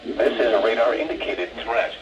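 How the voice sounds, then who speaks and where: A man's voice: mid-range voice, level tone, normal speed, echoey room, dull audio. Man, synthetic weather radio announcer, scripted alert, picked up at a distance, noisy. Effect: weather radio speaker. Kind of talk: scripted emergency alert broadcast. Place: played from radios in a room, picked up by camera. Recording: noisy radio speaker picked up from across room.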